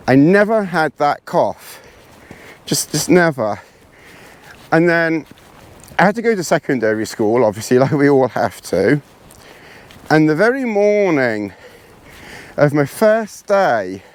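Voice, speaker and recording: A man with a hoarse, congested voice talks unhurriedly to his camera as he walks across open hills. His speech is rambling and self-deprecating, full of restarts, and his pitch sits at an ordinary middle level. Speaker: vlogger. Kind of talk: casual chat while hiking. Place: outdoors on windy hills.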